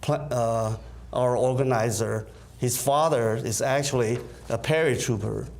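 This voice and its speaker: A man's voice: mid-range voice, measured pace, gravelly, a little mellow. A man who grew up in Taiwan, an event organizer answering interview questions hesitantly, with many fillers. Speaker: concert organizer.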